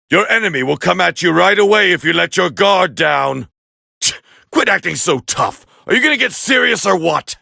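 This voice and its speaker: A proud warrior prince from an anime fighting game, growling with heavy gravel in his throat. He sounds forceful and condescending, commenting on battle in clipped, scornful phrases. The voice sits fairly high for a man and is recorded close and dry. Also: dry recording, exaggerated voice.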